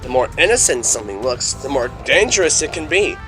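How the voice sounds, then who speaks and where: Male actor as excitable cartoon gator agent, earnest and dramatic, very animated delivery over prominent music. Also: mid-range voice, brisk, close to an everyday voice. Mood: grave, vigilant. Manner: dramatic, urgent warnings that swing into grave explanation. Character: earnest, excitable, by-the-book alligator secret agent. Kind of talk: animated comedy fandub acting.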